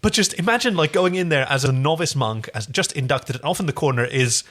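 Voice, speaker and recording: A man's voice: a little high, expressive, a little fast, a bit bright. A man co-hosting a podcast, casually recapping a TV episode in a wry, rambling storytelling way. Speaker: podcast co-host.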